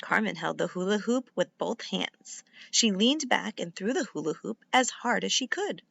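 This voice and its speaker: A woman reading a children's book aloud like a teacher, in an expressive, deliberate and instructive way. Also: medium pitch, vocal fry, no room sound, muffled recording. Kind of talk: animated read-aloud narration for young children.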